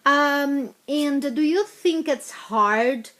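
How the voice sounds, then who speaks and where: A woman interviewing a teenager, speaking haltingly and repeating words, with non-native phrasing. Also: high voice, a bit bright. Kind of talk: casual interview questions.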